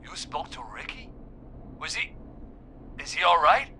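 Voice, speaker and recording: An actor playing a wounded, guilt-ridden small-town man in a video game, weary and halting as he confesses over a call. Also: a little high, expressive, pushed and bright, a little hiss or hum, full character voice. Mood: concerned, relieved. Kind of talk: dramatic video game voice acting.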